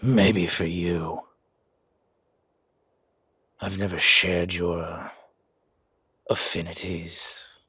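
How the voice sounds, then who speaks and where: A man playing a surly, hot-tempered werewolf in an audio drama, terse and grudging, with a raspy, gravelly growl. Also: deep voice, flat delivery, drawn-out words, long pauses, pushed and bright, dry recording, studio quality, full character voice. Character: surly, hot-tempered male werewolf who hates vampires. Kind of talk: audio roleplay drama acting.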